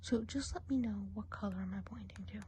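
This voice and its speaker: A woman's voice: mid-range voice, a little fast, a little hiss or hum, close to an everyday voice. A woman playing a gossipy whispering witch. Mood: coaxing. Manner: soft breathy whispering; chatty, rambling gossip with fillers. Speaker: eye examiner. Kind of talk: ASMR role play.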